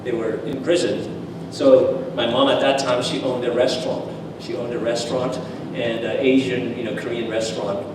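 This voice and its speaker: A man tells a personal story at a church seminar, speaking with lively restarts and filler words. His voice carries a long, booming reverb that fills the room. He sounds distant from the microphone, with faint room ambience behind him. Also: normal speed, noisy background. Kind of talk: personal testimony talk. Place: church hall. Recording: echoey hall, far from the mic.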